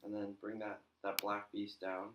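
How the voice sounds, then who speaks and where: A young man with a lowish voice argues his side in a joking podcast chat with friends. He swears freely. The room is echoey, with a faint noise underneath. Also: monotone, moderate pace. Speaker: podcast co-host. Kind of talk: casual chat among friends.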